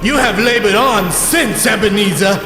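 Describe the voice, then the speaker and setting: A man acting in a radio play as a mournful, menacing ghost, solemn and declamatory, with drawn-out words and a ghostly reverb. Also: high-pitched, music in the background. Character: mournful, menacing male ghost of dead business partner. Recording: echoey with long reverb.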